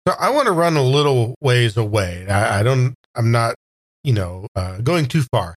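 A man's voice: medium pitch, very animated, normal speed. A man playing a tabletop game, casually improvising a description of what the character does.

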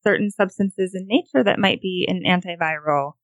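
A woman with a Montana accent, a guest homeopath, explaining in a steady way with a few fillers. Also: on the low side, moderate pace, no room sound. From Montana, USA. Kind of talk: explanatory interview answers.

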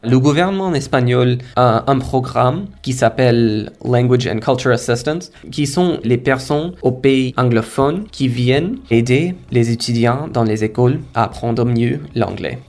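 A man's voice: medium pitch, darkish tone. A young man from India, a native Korava speaker, giving an earnest and reflective personal account. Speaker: language learner and teaching assistant.

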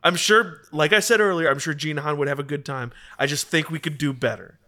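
A man playing a tabletop game, talking in a rambling, apologetic way full of restarts. His voice is bright and forceful, pitched a touch high. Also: fairly quick. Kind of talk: in-character roleplay chat.

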